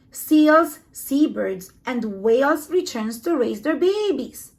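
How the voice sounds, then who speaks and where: A woman reading a children's picture book aloud in an expressive storytelling manner, giving extra emphasis to sound words. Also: high voice, low-quality recording. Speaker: storybook reader.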